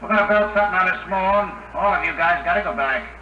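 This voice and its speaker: Brash wisecracking crewman for vintage space radio play, male actor; high-pitched, slangy, echoey noisy broadcast. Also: fast, highs cut off, lightly acted. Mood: cocky, resolute. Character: brash wisecracking young spaceship crewman, cocky and self-sacrificing. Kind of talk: 1950s radio drama acting.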